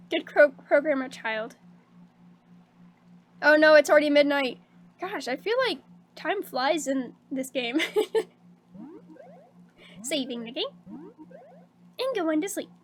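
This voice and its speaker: A bubbly woman streamer with a high voice chats casually into a desk mic, laughing a lot and filling pauses with "um" and "like." Her voice sounds a little hoarse, with a faint hiss of background noise behind her. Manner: bubbly and chatty, laughs often, many fillers like "um" and "like". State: slightly hoarse. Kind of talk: casual stream chat reading out viewer messages.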